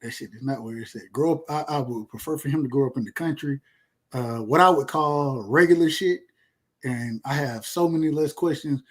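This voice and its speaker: A man's voice: medium pitch, normal speed. A man in his thirties from rural Georgia with a Southern accent, chatting casually and emphatically in slangy, profane, repetitive phrases. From rural Georgia, USA. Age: 30s. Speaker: podcast speaker. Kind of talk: casual chat.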